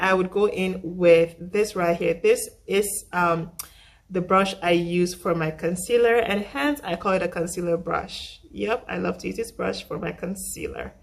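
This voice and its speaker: A young woman with a husky voice, still getting over the flu, chatting through a casual makeup tutorial and often repeating herself. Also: lowish voice. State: recovering from flu. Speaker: makeup vlogger.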